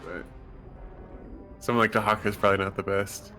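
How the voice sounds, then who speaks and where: A man's voice: on the low side, steady tone, fairly quick, pushed and bright, soft background music. A man chatting casually as a gaming partner, congested from a cold and sounding nasal.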